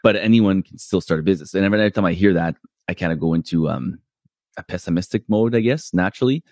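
A man's voice: deep voice, fast, darkish tone, no echo, clean studio sound. A man hosting his own podcast, talking casually and rambling with many fillers, restarts and self-deprecating asides. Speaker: podcast host. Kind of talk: casual solo podcast talk.

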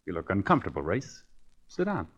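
Low-voiced cocky condemned killer. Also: male voice, huge pitch swings, a little fast, fairly bright tone, heavily acted. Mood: wry, cocky. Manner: wry, cocky. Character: cocky condemned male killer, defiant and sardonic. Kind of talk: 1940s radio acting. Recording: dull, noisy 1940s radio recording.

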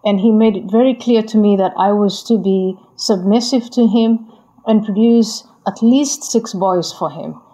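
A woman, a guest answering interview questions in a calm, deliberate way. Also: mid-range voice, low-quality recording. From Somalia.

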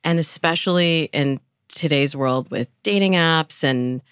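A woman giving articulate, measured explanations as a dating coach in a podcast interview. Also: sits low, clean tone, no echo, studio quality.

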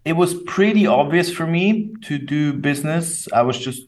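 A young adult man from Austria, bilingual in English and German, answering interview questions in a thoughtful, measured way with fillers and restarts. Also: fairly high pitch, lively intonation, recorded from a distance. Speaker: company co-founder, guest.

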